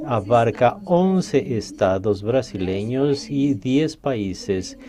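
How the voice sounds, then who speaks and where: A man giving a formal prepared speech as a government education representative, reading in a measured way. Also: on the low side, warm tone. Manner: reads a prepared statement, measured.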